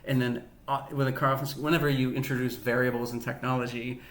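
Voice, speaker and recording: A man co-hosting a podcast in casual chat, talking haltingly with frequent restarts and easy laughs. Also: mid-range voice, little pitch movement, a bit bright, reverberant, a little hiss or hum. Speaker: podcast co-host.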